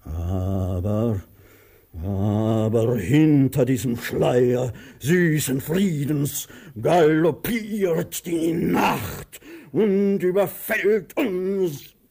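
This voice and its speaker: An actor playing a pompous, cruel and self-pitying older master in a German radio play, with a grandiloquent, mercurial manner. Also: male voice, fairly high pitch, extremely slow, gravelly, dark tone, husky, exaggerated voice. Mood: pompous declaiming.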